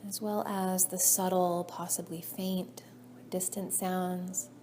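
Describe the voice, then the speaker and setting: A woman leading a guided meditation as a yoga nidra teacher, soothing and measured, her phrases trailing off softly. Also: on the low side, flat delivery. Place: indoor class room. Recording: faint background noise, live class recording.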